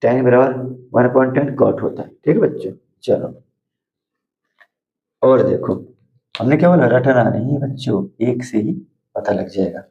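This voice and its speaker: Hoarse male math tutor with a gravelly, dark voice and a Hindi accent; drills steps, quizzes the class; dull lo-fi recording. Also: medium pitch, a little slow, pauses often. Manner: repetitive, asks students questions and answers them himself. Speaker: math teacher. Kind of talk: board lesson for school students.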